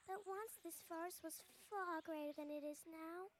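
A woman doing a tiny, sad, high-voiced possum. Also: moderate pace. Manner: quiet and sad. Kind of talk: storybook read-aloud.

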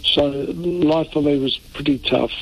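An older man from Italy, a lawyer answering interview questions in a halting way, full of fillers and restarts. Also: fairly high pitch, fairly even intonation, a little hiss or hum, low-quality recording. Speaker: interview guest, defence lawyer.

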